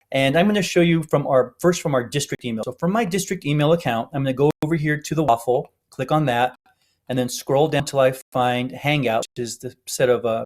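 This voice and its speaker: Man explaining steps in a tutorial. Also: medium pitch. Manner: explanatory, step-by-step. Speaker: instructor. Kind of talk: informal screencast tutorial narration.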